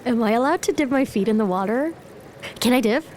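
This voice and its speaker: Bubbly young sister played by an actress: very high, swooping voice, cheeky and gushing, romcom podcast, slight ambient noise. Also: female voice, animated, fairly quick, darkish tone, lightly acted. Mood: playful, excited. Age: young adult.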